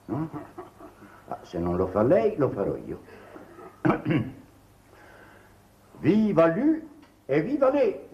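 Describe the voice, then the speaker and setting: An actor playing a genial, hearty older uncle in an old Italian television drama, with a jovial, affectionate manner. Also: male voice, fairly high pitch, wildly swooping pitch, takes it slowly, long pauses, full character voice. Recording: dull, faintly noisy 1950s TV soundtrack.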